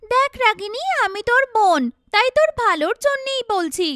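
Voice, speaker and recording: A woman acts out a spiteful young woman from an animated family drama. Her voice is very high and lively, recorded close and dry, and she needles and belittles with theatrical scorn. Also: moderate pace, dry recording. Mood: sly belittling. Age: young adult. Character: spiteful, taunting young sister sneering at her sibling. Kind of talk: animated moral-story cartoon acting.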